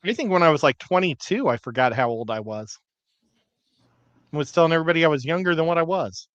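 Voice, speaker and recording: A man's voice: fairly high pitch, animated, quick pace, a bit bright, no room sound. A man in his fifties or older, telling everyday stories in casual chat as a livestream panelist. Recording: dry, dull lo-fi audio.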